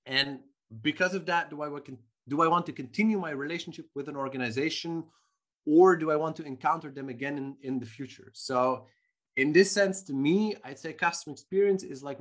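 Man, fairly high voice. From Belgium. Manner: thoughtful, frequent restarts and fillers. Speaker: marketing professor, guest. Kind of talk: podcast interview answers.

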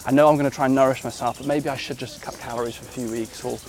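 A man's voice: mid-range voice, steady tone, racing, a little mellow, soft background music. A man, hosting a casual walking interview outdoors in a park, reflective and fond of tag questions. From West Wales. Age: 30s. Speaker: podcast host.

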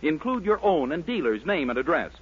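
A man's voice: fairly high pitch, big pitch swings, a little fast, breathy edge. An American man announcing on 1940s radio in a polished, emphatic salesman's style. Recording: muffled vintage 1940s radio broadcast.

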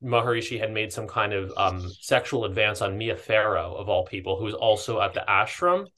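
A man's voice: on the low side, a little fast, sharp tone. A man chatting casually as a podcast co-host, hesitant and rambling as he keeps interrupting himself.

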